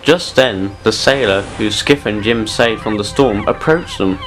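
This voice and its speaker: A man's voice: fairly low pitch, animated, a little slow, fairly bright tone, slight background noise, poor audio. A man reading scripted narration for a children's style audio drama story.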